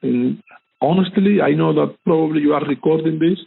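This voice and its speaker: Gravelly, husky middle-aged man on a tinny line. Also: on the high side, a little fast. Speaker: cybersecurity conference speaker being interviewed. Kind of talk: sincere, casual conversation.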